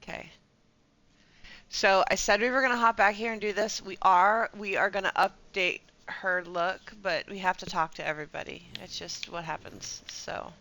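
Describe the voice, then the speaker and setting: A woman's voice: mid-range voice, steady tone, moderate pace, bright, forceful tone, low-quality recording. A woman giving casual live commentary as she plays a video game, often muttering short reactions to herself.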